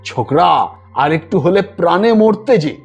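Brief: male storyteller as an older station master, husky, knowing and cautious, confiding tone, music underneath. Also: very animated, a little slow, muffled recording, exaggerated voice. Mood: scolding, reassuring. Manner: lowered, confiding way of talking.

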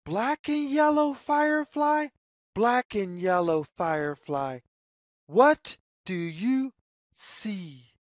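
Slow, sing-song woman reading to children. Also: medium pitch, lively intonation, no echo, clean studio sound. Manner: deliberate, sing-song phrasing. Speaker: storyteller reading aloud to children. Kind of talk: read-aloud children's picture book, slow sing-song.

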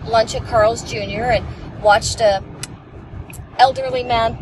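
A woman's voice: fairly high pitch, fairly even intonation, slow. A woman in her forties, a passenger telling a rambling road-trip story in casual chat, recorded from the dashboard of a moving car. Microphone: camera on the dashboard. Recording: clear road noise in a car.

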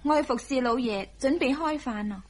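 An actress playing a gentle, dutiful, self-sacrificing young wife in a Hong Kong Cantonese radio drama, soft and careful in manner. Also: female voice, high voice, normal speed, slight background noise, lightly acted. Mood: meek, apologetic.

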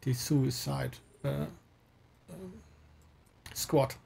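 A man's voice: medium pitch, little pitch movement, extremely slow, creaky, a crowd in the background. A man hosting a gaming livestream, chatting casually with guests and viewers. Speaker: livestream host. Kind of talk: casual gaming stream chat. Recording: faint background noise.

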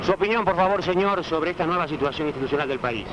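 Rapid-fire Argentine male street reporter, noisy archive audio. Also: on the high side, lively intonation. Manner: formal, insistent. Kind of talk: vox pop news interviewing. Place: outdoors on a busy city street. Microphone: handheld microphone. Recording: dull noisy 1970 archive newsreel audio.

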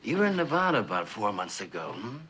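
An actor playing a stern, calculating American police detective, deliberate and insinuating, in a mid-century crime drama. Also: male voice, fairly low pitch, big pitch swings, very noisy. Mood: probing, sardonic.

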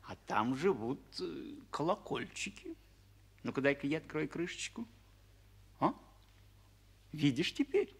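A man playing a kindly father who teases and coaxes his small boy in an old radio play, with a husky voice. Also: a little high, wildly swooping pitch, measured pace, long pauses, heavily acted. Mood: playful, coaxing. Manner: playful, coaxing. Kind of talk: 1940s radio play acting.

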